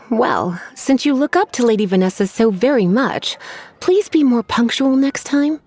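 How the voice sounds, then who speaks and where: A woman's voice: on the high side, animated, a little mellow. A woman playing a languid, teasing librarian in a video game, coy and polite with menace underneath. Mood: weary, ominous. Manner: coy, sighing, drawn-out politeness. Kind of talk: English video game voice acting.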